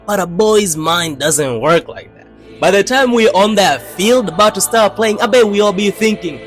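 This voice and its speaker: Animated young man, slangy narrator. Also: high voice, normal speed. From Tanzania. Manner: slangy, joking, expressive, conversational. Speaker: YouTube storyteller. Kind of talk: casual scripted narration for animated video. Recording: background music under the voice. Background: music.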